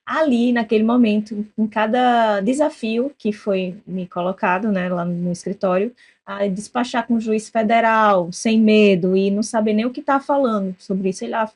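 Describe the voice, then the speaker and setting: A woman from southern Brazil with a southern Brazilian accent, hosting a casual interview and telling lively stories that act out quoted dialogue. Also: medium pitch, moderate pace. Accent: southern Brazilian Portuguese. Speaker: podcast host.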